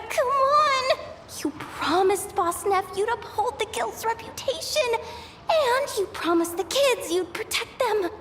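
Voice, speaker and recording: A woman playing an excitable, easily panicked young guild worker in a video game, with an expressive, dramatic delivery. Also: extremely high pitch, heavy background noise, full character voice. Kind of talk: animated video game voice acting.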